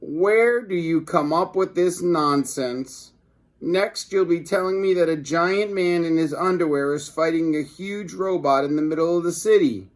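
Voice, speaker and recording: A man's voice: fairly high pitch, unhurried, pitched above the performer's own voice, close to an everyday voice. A man voicing an exasperated, disbelieving mother in a children's storybook.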